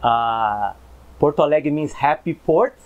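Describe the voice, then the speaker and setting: A man from Brazil, a football coach, answering interview questions in an upbeat way with many pauses and fillers. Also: a little high, lively intonation. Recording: slightly dull, lo-fi sound.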